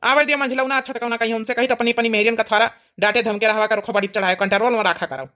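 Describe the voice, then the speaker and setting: A woman's voice: on the high side, fairly even intonation, fast, bright, forceful tone, voice pushed down. A woman voicing an indignant, protective grown village son in a cartoon comedy, blunt and scolding with an Awadhi accent. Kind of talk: comic cartoon skit.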